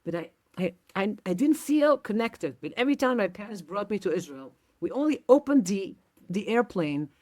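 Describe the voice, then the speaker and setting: A woman's voice: mid-range voice, moderate pace. A middle-aged woman from Belgium who talks casually and tells stories with energy, acting out the people she quotes and often restarting her sentences. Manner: animated, acts out quoted dialogue, frequent restarts. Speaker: activist guest. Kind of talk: conversational storytelling.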